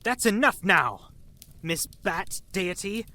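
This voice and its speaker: A woman's voice: medium pitch, expressive, slow pace, bright, forceful tone. A woman playing an earnest, easily astonished companion in a visual novel, with an exclamatory, stammering delivery. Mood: startled, amazed. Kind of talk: dramatic visual novel voice acting.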